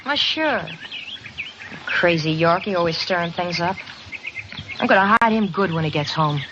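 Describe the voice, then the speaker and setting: Brash, animated frontier wife, played by a woman. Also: lowish voice, normal speed, lightly acted. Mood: irritated. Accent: American. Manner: brash, folksy, evasive. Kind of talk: old-time radio western acting. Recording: dull old radio recording, faint noise.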